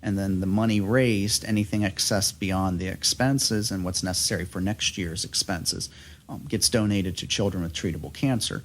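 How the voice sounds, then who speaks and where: Casting: male interview guest, fairly low voice, plain-spoken, easy anecdotal conversation. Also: steady tone, moderate pace. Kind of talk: casual chat, anecdotal.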